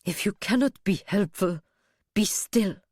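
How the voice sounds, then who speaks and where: A woman's voice: medium pitch, expressive, a little slow, darkish tone, no echo, studio quality. A woman voicing a cruel, domineering female leader in an audiobook, cold, curt and scornful. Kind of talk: audiobook character voice.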